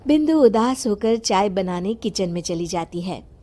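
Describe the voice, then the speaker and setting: A woman reading scripted narration for an animated family drama. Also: mid-range voice, a little slow.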